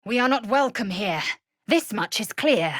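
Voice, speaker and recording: Fantasy game role: fierce, scornful alien warrior woman. Measured, husky, clipped and imperious. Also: on the high side, lively intonation, fairly bright tone, dry recording, clean studio sound. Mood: commanding anger. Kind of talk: scripted fantasy video game acting.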